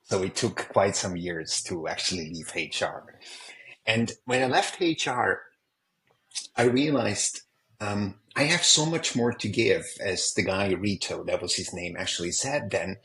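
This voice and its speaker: A man from Switzerland speaks as a guest on a remote podcast, sitting a bit low in pitch. He is earnest and reflective, and he acts out past conversations as he tells them. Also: a little slow, sharp tone, slight background noise, poor audio. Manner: earnest, reflective, quotes others in dialogue. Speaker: executive coach, guest. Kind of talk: podcast interview, storytelling. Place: remote call.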